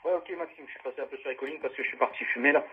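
A man's voice: mid-range voice, fairly even intonation, rapid-fire. Man, informal ham radio chat, thin telephone-band sound with some hiss. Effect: radio link through phone speakerphone. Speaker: amateur radio operator on the other end. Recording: tinny, noisy radio link over phone loudspeaker.

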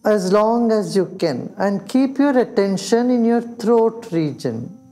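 An older man with an Indian accent leading a guided meditation, speaking slowly and soothingly with long pauses. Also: high-pitched, big pitch swings, mellow. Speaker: meditation teacher. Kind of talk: guided meditation in English and Hindi. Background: background music.

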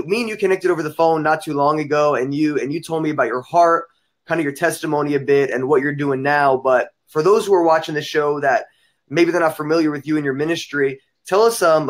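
Chatty thirty-year-old male host, enthusiastic, slightly higher voice. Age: 30s. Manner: enthusiastic, chatty, uses "man" and "bro". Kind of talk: casual interview chat.

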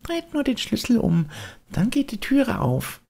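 A tiny storybook cricket, speaks in a very high, thin falsetto. The delicate little creature gently chides and advises. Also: male voice, wildly swooping pitch, a little slow, vocal fry, breathy edge, exaggerated voice. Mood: gently chiding.

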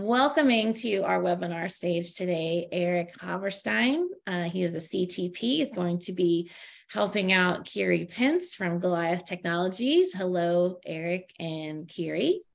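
A woman hosts an online webinar and walks through the announcements. Her mid-pitched voice has a gravelly edge. She talks informally, with plenty of ums and uhs, over a video call. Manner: friendly and informal, with frequent fillers. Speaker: webinar host.

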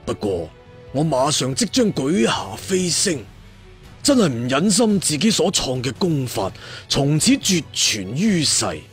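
A man acts out an ancient, proud cultivation master in a Cantonese storybook tale. He speaks very slowly and solemnly, with drawn-out declamatory phrases and swinging pitch, over music. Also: exaggerated voice. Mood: earnest. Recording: music behind the voice.

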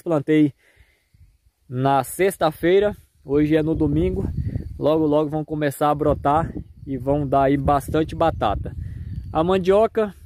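A man's voice: on the high side, darkish tone, slight background noise. A man with a Brazilian accent giving a casual tour of his farm to viewers, recorded outdoors on a handheld phone. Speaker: farmer and video host.

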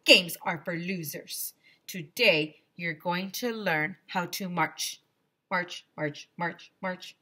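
A woman's voice: fairly low pitch, takes it slowly, pushed and bright, breathy edge, exaggerated voice. A woman voicing a stern, bullying male doctor in a storybook, barking scornful commands like a drill sergeant.

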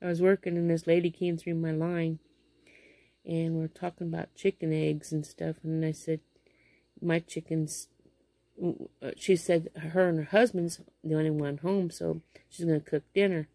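Gravelly-voiced woman rambling into her phone. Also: deep voice, little pitch movement, moderate pace, dark tone, no echo. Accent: Midwestern American. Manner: rambling, full of fillers and restarts. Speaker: vlogger. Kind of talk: casual storytelling vlog. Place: small bedroom indoors. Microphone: phone camera held close below face.

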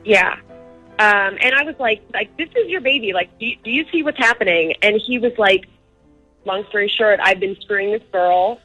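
Young female caller, husky voice, casual storytelling, conversational, faint music behind. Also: medium pitch, normal speed, pushed and bright, rough recording. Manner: conversational, frequent "like".

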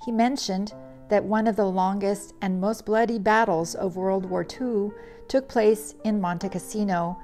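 Middle-aged woman, craft tutor voice-over, measured and earnest, gravelly tone, faint music bed. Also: mid-range voice, a little mellow. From Poland. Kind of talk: read voice-over narration.

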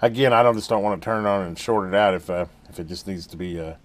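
A man talking casually and haltingly in a folksy way, thinking aloud as he hosts a hands-on repair video at an indoor workbench. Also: sits low, no room sound. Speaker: host of a radio repair channel.

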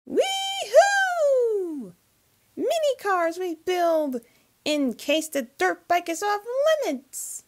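A woman voicing a playful, mischievous girl who loves gadgets, excitable and sing-song, for a cartoon demo. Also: extremely high pitch, very slow, exaggerated voice. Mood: excited, mischievous.

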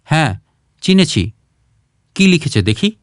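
An impatient male doctor from an audio short story, acted out by a man narrating. He fires curt, scolding questions in a fairly high, swooping voice. The recording is dry and close. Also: very animated, a little slow, no room sound. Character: impatient, brusque, busy male city doctor.

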